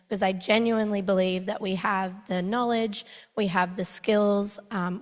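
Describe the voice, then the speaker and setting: A woman physician and researcher speaking on a conference panel, hoarse from losing her voice, earnest and energetic with self-deprecating asides. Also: medium pitch. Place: large conference hall. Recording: long hall reverb.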